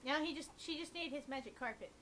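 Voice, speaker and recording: Female let's play guest, high bright voice, casual teasing interjections. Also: quick pace, slight background noise. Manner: teasing, playful. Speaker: gamer's wife as guest. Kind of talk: casual side comments.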